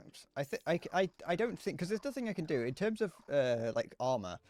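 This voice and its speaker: A man's voice: a little high, big pitch swings, a little fast, darkish tone, no room sound, faint music behind. A young British man streaming a game, chatting casually in a slightly whiny voice, rambling and self-deprecating, swearing now and then. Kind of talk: casual live gameplay commentary.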